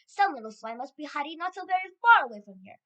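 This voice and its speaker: An agitated storybook witch from a coven, acted out by a woman, high and bright. She exclaims in disgust with dramatic swoops. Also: animated, fast talker.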